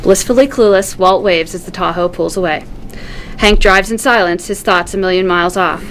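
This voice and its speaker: Lively woman reading screenplay, noisy recording. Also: fairly low pitch, moderate pace. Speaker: stage directions reader. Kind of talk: scripted screenplay narration at a table read.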